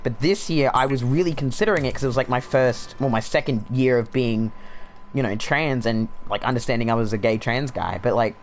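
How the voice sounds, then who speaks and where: A young man with a relaxed Australian accent talks while he plays a game on stream, rambling through opinions with plenty of "like" and "um". His voice sits at an ordinary middle pitch, and his tone turns dry and sardonic. A faint hiss runs beneath his home desk mic. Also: brisk. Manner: rambling, sardonic, frequent fillers. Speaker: gaming streamer. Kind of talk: casual chat while livestreaming a game.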